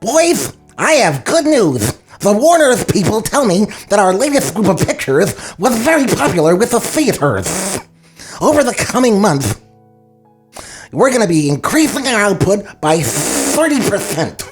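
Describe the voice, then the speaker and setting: A woman at a script table read playing a brisk, stingy male studio boss addressing staff. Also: medium pitch, very animated, faint music behind, low-quality recording. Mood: upbeat announcing.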